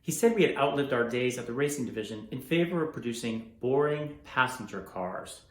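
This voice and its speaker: A man's voice: medium pitch, expressive, brisk, lightly acted. A man playing a proud, driven car engineer, earnest and emphatic in a theatrical monologue. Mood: proud, urging. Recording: echoey room.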